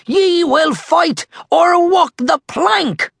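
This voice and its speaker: A woman's voice: fairly low pitch, very animated, drawn-out words, gravelly, a bit bright, breathy edge, no room sound, voice pushed up, heavily acted. A woman voicing a blustering, bossy boy pirate captain from a children's storybook, bellowing theatrically in a stage pirate accent. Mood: outraged.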